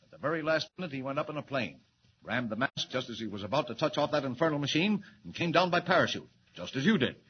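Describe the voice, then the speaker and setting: An actor playing a brisk, bossy middle-aged newspaper editor in a 1940s radio drama. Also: male voice, medium pitch, sing-song, muffled recording, slight background noise, lightly acted.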